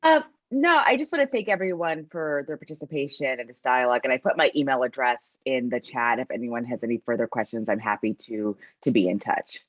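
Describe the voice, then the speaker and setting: Very low-voiced woman on a video call. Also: fairly quick, few pauses, no echo, lo-fi sound. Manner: brief, friendly closing thanks. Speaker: panel speaker. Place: indoor office.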